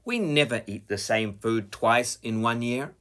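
Prim, proper storybook girl pig, played by a male reader. Bright, husky, slow. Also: natural voice. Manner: bright, exaggerated high delivery.

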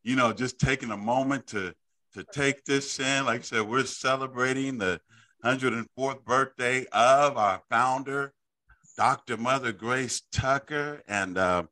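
A middle-aged man with an Oklahoma accent hosting a church Bible study on a video call, speaking haltingly with repeated words and frequent amens. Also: medium pitch, bright, forceful tone. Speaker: bishop hosting a weekly online Bible study. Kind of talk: casual livestream hosting. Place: indoor office, room with a framed picture. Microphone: studio microphone in front of him on a video call.

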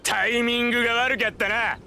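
Sharp, high-pitched sneering thug. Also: male voice, level tone, brisk, soft background music, heavily acted. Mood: menacing. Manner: rough, mocking, threatening. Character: sneering, hot-tempered adult male thug, bullying. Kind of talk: Japanese anime-style game dub acting.

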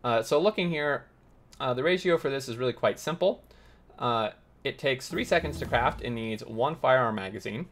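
A man hosting a gaming tutorial, slightly raspy as he loses his voice, explaining step by step while thinking aloud with many uhs. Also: mid-range voice, normal speed, a little hiss or hum. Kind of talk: explaining gameplay while playing.

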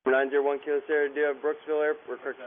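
Male air traffic controller, very brisk, level delivery, narrow radio sound. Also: on the high side, level tone. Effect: radio transmission. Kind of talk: radio communication, procedural phraseology.

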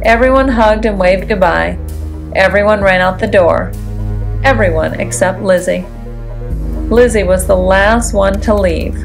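A woman narrates a children's storybook expressively. Her mid-pitched voice has noticeable creak, and music plays clearly behind her. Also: a little slow. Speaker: storybook reader. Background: background music.

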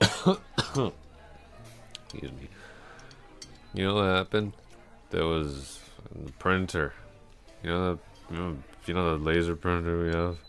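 Man acting a very deep-voiced drunk coworker, creaky. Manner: slurred, halting, repetitive drunken rambling. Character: drunk, rambling, awkwardly friendly male office coworker. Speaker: ASMR roleplay voice actor. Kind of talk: intimate ASMR roleplay, one-sided conversation.

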